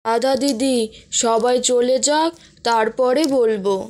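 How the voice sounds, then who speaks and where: A woman voicing a loyal, generous magical crow in a cartoon tale, measured and earnest. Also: on the high side, fairly even intonation, darkish tone.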